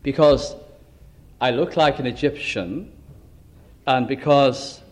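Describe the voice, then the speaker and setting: A man preaching who voices an anxious, reluctant prophet, pleading in a low, quiet, hesitant way. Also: slow pace, close to an everyday voice.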